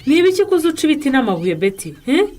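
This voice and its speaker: A woman's voice: high-pitched, big pitch swings, racing, darkish tone. A woman playing a worried mother in a radio drama, full of exclamations. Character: worried mother, exclaiming over missing father-in-law. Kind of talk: Kinyarwanda radio drama acting.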